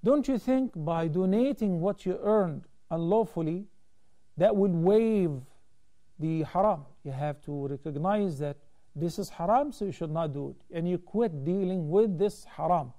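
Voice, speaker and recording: A man in his thirties, an Islamic scholar hosting a call-in TV show, explaining rulings in a measured way from a studio desk on a lapel mic. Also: high voice, expressive, mellow. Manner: measured, explanatory, gestures while talking. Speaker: Islamic scholar answering viewer questions. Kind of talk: call-in religious Q&A.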